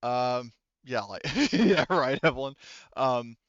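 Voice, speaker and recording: Guy game mastering online, smooth voice, kinda dull dry headset sound, stops and starts while he checks stuff. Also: medium pitch, lively intonation, fairly bright tone, dry recording. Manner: halting, thinking aloud while checking rules. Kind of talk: narrating and refereeing an online tabletop roleplaying game. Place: online voice call. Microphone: gaming headset.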